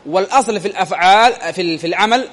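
A man's voice: sits high, very fast, a bit bright, long reverb tail. A man teaching a grammar lesson, repeating phrases and quizzing his listeners with rhetorical questions.

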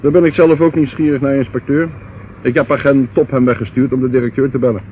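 An actor in a radio play playing a dutiful police sergeant who speaks formally and deferentially. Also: male voice, medium pitch, steady tone, quick pace, close to an everyday voice. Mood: dutiful, reporting. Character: dutiful male police sergeant reporting to superiors. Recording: tinny, noisy old radio recording.

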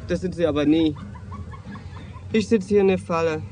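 A man plays a world-weary, sassy transgender woman who works as a prostitute. The voice sits fairly high for a man and teases with blunt, campy affection. It is a radio drama, with music clearly underneath. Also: moderate pace, close to an everyday voice. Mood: bitter, resigned. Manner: teasing, frank, campy endearments. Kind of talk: dialogue-driven radio drama acting.

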